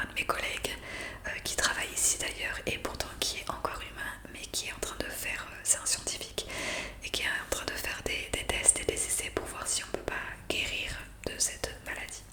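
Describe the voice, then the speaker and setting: Whispering woman, gentle ASMR roleplay. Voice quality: breathy unvoiced whisper. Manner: gentle, hesitant explaining with frequent euh. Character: friendly young woman zombie welcoming a newcomer. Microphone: close studio condenser microphone.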